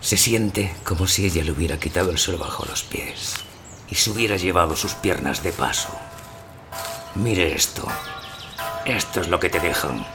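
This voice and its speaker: An actor playing a bitter, weary maimed door-to-door photographer in an audio drama, blunt but formally polite. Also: male voice, low-pitched, moderate pace, music in the background. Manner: blunt, resentful, formally polite to his customer. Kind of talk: audio fiction dialogue.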